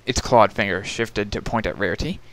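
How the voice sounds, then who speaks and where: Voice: low voice, moderate pace, dry recording. A narrator giving a dramatic reading of a story, telling it in scripted prose.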